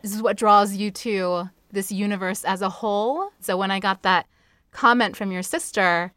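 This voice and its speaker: A woman's voice: mid-range voice, moderate pace, a bit bright, no echo. A woman hosting a podcast, interviewing in a casual, supportive and enthusiastic conversational way. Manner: supportive, enthusiastic toward guest.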